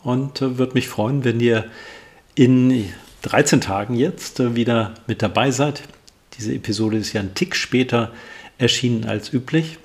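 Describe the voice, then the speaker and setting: A man's voice: medium pitch, a little slow, darkish tone, breathy edge. A man hosting an interview podcast in casual talk, polite and informal with some hesitant phrasing. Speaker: podcast host.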